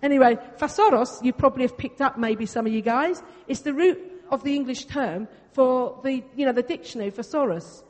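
A woman's voice: high-pitched, brisk, few pauses. A woman whose first language is Greek, preaching a sermon in a church in a chatty way, repeating phrases for emphasis. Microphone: venue sound system. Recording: reverberant church hall.

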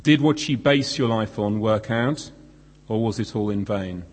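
Croaky-voiced man preaching in a hall. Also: lowish voice, a little slow. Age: 40s. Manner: self-deprecating, conversational. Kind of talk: sermon. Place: church hall. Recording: long hall reverb.

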